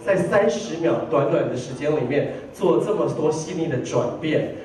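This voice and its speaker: A man's voice: fairly high pitch, animated, normal speed, a little mellow. A man co-hosting and interviewing award winners in an upbeat way. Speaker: award backstage co-host, interviewer. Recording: very echoey hall, distant mic.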